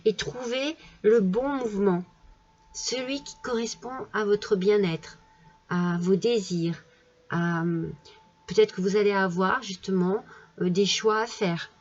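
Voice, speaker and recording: A woman with a cold giving a tarot reading in a gentle, soothing way, with many fillers and restarts, in a French accent. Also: medium pitch, slow, muffled recording. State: has a cold. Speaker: tarot card reader. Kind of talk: casual tarot reading monologue.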